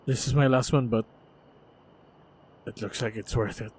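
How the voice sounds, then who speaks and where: A man acting a steady, protective fighter in a fantasy action roleplay, speaking to the listener in short phrases with pauses. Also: mid-range voice. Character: steady protective male fighter guiding a princess. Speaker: voice actor in audio roleplay. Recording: noisy, effects-heavy track.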